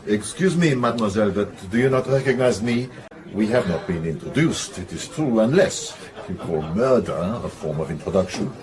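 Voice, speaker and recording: A man's voice: lowish voice, lively intonation, moderate pace, crowd noise, lightly acted, rough recording. A man playing a charming, courteous young Frenchman with a French accent in a radio drama. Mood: courteous, eager.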